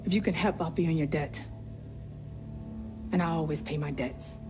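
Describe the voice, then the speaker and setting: A man's voice: a little high, normal speed, natural voice. A man playing a grim, desperate father. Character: desperate father whose daughter was taken. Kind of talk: video game cutscene dialogue. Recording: game audio with music underneath.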